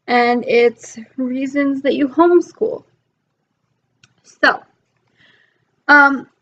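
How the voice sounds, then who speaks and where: Young woman, homeschool vlogger, higher voice, recovering from a cold, chatty and filler-heavy; laptop mic, muffled lo-fi audio. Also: a bit bright. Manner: chatty, lots of ums, rambling. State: recovering from illness, losing her voice. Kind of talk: casual talk to camera.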